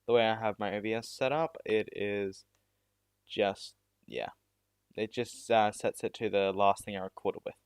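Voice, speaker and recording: A man's voice: on the low side, moderate pace, clear, resonant tone, no room sound. A teenage boy from South Australia, chatting casually with viewers on a live stream, rambling and making fun of himself. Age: teens. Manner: rambling and self-deprecating, with many restarts. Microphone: new USB mic.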